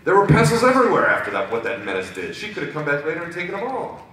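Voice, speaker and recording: A man's voice: low-pitched, animated, fast, a bit bright, heavy reverb, far from the mic, slight background noise, voice pushed up. A man voicing an irate pony witness who complains in a curt, indignant, huffy manner.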